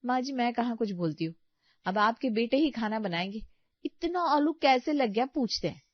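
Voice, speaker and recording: Exasperated thrifty housewife voiced by a woman, rising pitch. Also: animated, normal speed, no room sound, highs cut off. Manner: complaining, bargaining, emphatic. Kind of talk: animated comedy story dialogue.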